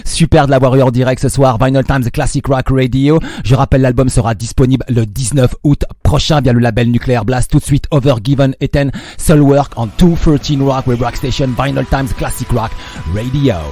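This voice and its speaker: A man's voice: medium pitch, steady tone, warm tone, no room sound, clean studio sound, faint music behind. A man with a French accent hosting a live radio interview, switching between French and English and stumbling over foreign titles. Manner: stumbling, self-correcting on foreign titles, quick laughs. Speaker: radio host.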